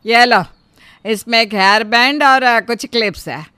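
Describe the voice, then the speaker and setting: A woman voicing a bossy, sharp-tongued older mother-in-law who scolds and commands her family. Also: a little high, measured pace, clear, resonant tone, no echo. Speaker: voice actor. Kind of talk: Hindi storybook drama acting.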